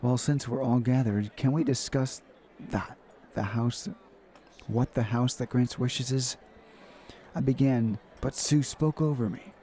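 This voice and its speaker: A man hosting a gaming video, reading a visual novel aloud in a halting way, stumbling and repeating phrases. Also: medium pitch, steady tone, mellow, slight background noise.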